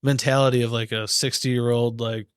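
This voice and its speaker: A man's voice: mid-range voice, little pitch movement, normal speed, clean tone, no echo, clean studio sound. A man co-hosting a podcast, chatting casually with joking, crude banter. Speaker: podcast co-host. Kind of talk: casual chat.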